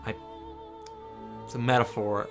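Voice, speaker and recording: Animated sarcastic man recapping over music. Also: mid-range voice, a little slow. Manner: sarcastic, exasperated, emphatic delivery. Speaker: host of a visual novel reaction show. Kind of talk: comedic game recap commentary, casual and sweary. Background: background music.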